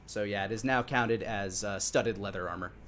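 A man narrating a tabletop roleplaying game as game master, improvising descriptions in a halting, detailed way. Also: fairly low pitch, a bit bright. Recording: clearly audible background noise.

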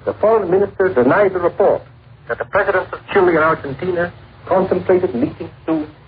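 A man's voice: high voice, fairly quick. A man reading a formal scripted report as a foreign correspondent over a 1940s shortwave radio relay. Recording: tinny, faintly noisy 1940s shortwave relay.

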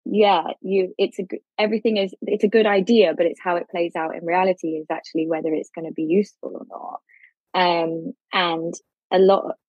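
A woman's voice: on the low side, fairly even intonation, fairly quick, a little mellow, dry recording, lo-fi sound. A woman legal expert on a podcast, thinking aloud as she explains the law, with frequent restarts. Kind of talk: podcast discussion.

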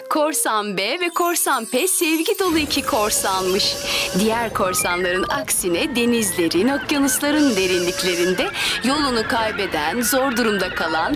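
A woman voicing a gentle teacher in a cartoon, telling a children's story with expressive, clear phrasing. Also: fairly high pitch.

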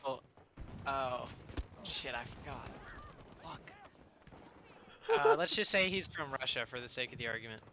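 A man's voice: mid-range voice, huge pitch swings, a little slow, pauses often, pushed and bright, a little hiss or hum. A young man in casual voice chat while gaming, teasing and laughing often. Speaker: gamer.

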